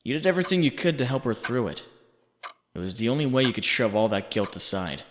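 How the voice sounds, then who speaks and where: A man playing a haunting inner voice, narrating in the second person with deliberate, accusing, dramatic delivery. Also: mid-range voice, sing-song, close to an everyday voice. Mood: somber, accusing. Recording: long reverb, faint background noise.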